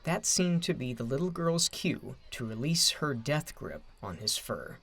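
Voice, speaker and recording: A man narrating a scripted story in a dramatic, expressive storytelling manner. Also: mid-range voice, a little slow, creaky.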